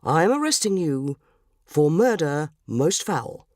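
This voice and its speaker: Woman voicing a pompous, solemn, low-voiced police detective. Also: big pitch swings, unhurried, a little mellow, no room sound, studio quality. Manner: stately, oratorical, florid. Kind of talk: dramatised story reading.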